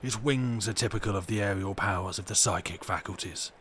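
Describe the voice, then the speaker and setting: A man's voice: fairly low pitch, a little fast, a bit bright, natural voice. A cunning madman acted out by a man reading a storybook holds forth with pompous superiority. He is emphatic and grandiose, an asylum patient sure of his own cleverness. Faint noise sits behind the voice. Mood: smugly superior.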